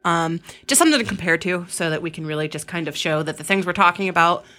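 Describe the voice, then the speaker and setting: A woman co-hosting a podcast, chatting in a friendly, explanatory way. Her voice is fairly low but strikingly bright. She speaks casually and informally. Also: fast. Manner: friendly, explanatory, says y'all. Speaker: podcast co-host.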